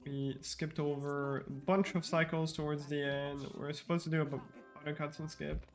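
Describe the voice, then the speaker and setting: A man giving relaxed live commentary on his own speedrun, explaining tricks in a casual, rambling way. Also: fairly high pitch, normal speed, soft background music. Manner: casual, explanatory, frequent fillers and restarts.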